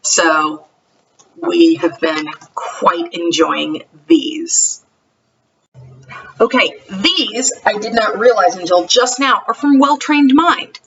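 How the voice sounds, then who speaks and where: A woman's voice: mid-range voice, expressive, takes it slowly, fairly bright tone, far from the mic, dull audio, slight background noise. A woman talking casually and enthusiastically, like a homeschool vlogger reviewing books. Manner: chatty, enthusiastic. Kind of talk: casual vlog book review.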